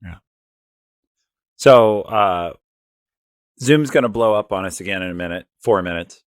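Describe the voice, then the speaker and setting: A man's voice: fairly low pitch, unhurried, no room sound, studio quality. A man co-hosting a casual livestream over a video call, talking informally and directly to the chat. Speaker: livestream co-host.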